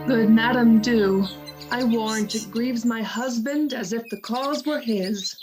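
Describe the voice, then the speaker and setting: A woman's voice: on the high side, unhurried, over music, close to an everyday voice, rough recording. A woman playing a dutiful, sympathetic attendant to a noble lady in a Shakespearean radio play. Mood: earnest. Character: Emilia, dutiful, sympathetic lady's attendant and wife.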